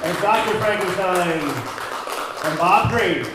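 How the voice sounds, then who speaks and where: A man hosts a live radio-style show in a high, theatrical voice. He draws his words out very slowly with spooky showmanship, over a loud crowd in an echoey hall. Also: recorded from a distance, very noisy. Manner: exaggerated, drawn-out spooky showmanship. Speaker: show host and announcer. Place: live on stage in a community hall.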